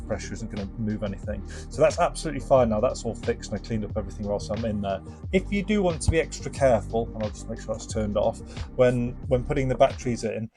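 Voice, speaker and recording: Man, casual demo narration, hesitant step-by-step explaining, mid-pitched voice, music in the background. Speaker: presenter of a vintage radio restoration channel. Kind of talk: casual product demo and review. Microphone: microphone set up for the demo.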